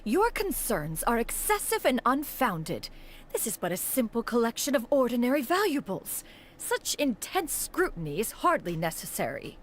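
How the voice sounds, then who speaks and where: Aloof immortal crane lady, haughty, formal, fairly high voice, animated intonation, game dialogue. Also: normal speed, slight background noise. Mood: dismissive. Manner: haughty, formal, precisely worded. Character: proud, aloof immortal crane adept in woman's form. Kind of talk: video game character dialogue. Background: faint music.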